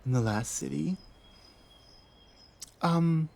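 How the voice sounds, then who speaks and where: A woman acts out a lost female orc in an intimate audio roleplay. The orc speaks slowly and hesitantly, tripping over restarts. She is timid and guarded, an outcast wary of strangers. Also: sits low, gravelly, dark tone, full character voice. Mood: wary, defensive. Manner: hesitant, halting, with many stammered restarts. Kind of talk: roleplay audio drama, ASMR.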